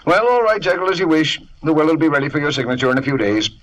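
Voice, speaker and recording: An actor playing a proper, concerned London lawyer, formal and blustery, in an old radio drama. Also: male voice, on the high side, big pitch swings, fast talker, a bit bright. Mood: doubtful, formal. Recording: noisy old radio recording.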